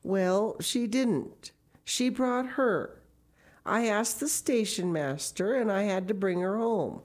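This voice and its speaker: A woman's voice: medium pitch, takes it slowly, a little mellow, pitched above the performer's own voice. A woman voicing a shy older farmer who explains himself hesitantly and apologetically. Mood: apologetic.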